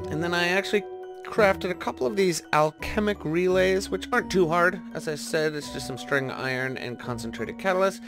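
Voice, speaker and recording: A man with a somewhat high-pitched voice gives casual gameplay commentary over background music. He thinks aloud as he goes, often questioning what he is doing in an informal, unscripted way. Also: vocal fry. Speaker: gaming video host. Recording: music behind the voice.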